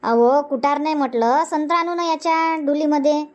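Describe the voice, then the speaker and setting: High-pitched nagging Marathi village housewife, as an actor plays her. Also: fairly even intonation, normal speed, muffled recording, close to an everyday voice. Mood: stubborn, explaining. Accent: rural Vidarbha Marathi. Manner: nagging, chattering, insistent. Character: nagging, chatty, stubborn village housewife in a comedy cartoon. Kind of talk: cartoon comedy dialogue.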